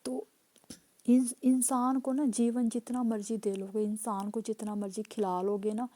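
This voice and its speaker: A woman pastor preaching a sermon with a hoarse voice, driving points home through repeated phrases and calls of hallelujah. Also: fairly high pitch, flat delivery, measured pace, mellow.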